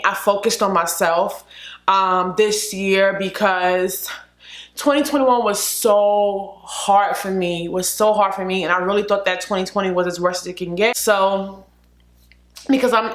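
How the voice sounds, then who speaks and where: A woman's voice: medium pitch, fairly bright tone. A woman vlogger chatting casually to camera, a little sniffly from a cold, full of "like" and "literally". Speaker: beauty vlogger. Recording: echoey room.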